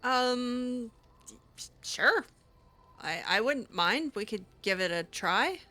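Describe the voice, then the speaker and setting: A woman improvising in-character dialogue as she plays a roleplaying game, halting and repeating words. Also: on the high side, animated, sharp tone.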